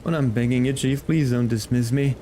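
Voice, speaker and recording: A man's voice: mid-range voice, steady tone, fairly quick, dark tone, slight background noise, lightly acted. A man voicing a boastful, shifty male axeman mercenary from a game, blustering and stammering. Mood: pleading, sheepish. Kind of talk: reading game dialogue aloud in character.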